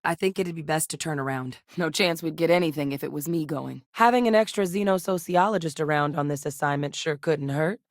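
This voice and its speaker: Game officer, low voice, earnest, measured, direct, dry close studio sound. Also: female voice, no echo. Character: composed female scientist officer, earnest and principled. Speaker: game companion character. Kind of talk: video game voice acting. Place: recording studio. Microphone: close studio mic.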